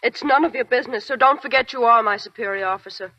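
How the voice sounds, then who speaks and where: An actress playing a bitter, grieving young army nurse in a 1940s radio drama, talking in a cold, clipped and hostile way. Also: female voice, on the high side, fast, sharp tone, no room sound. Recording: dry muffled 1940s radio broadcast.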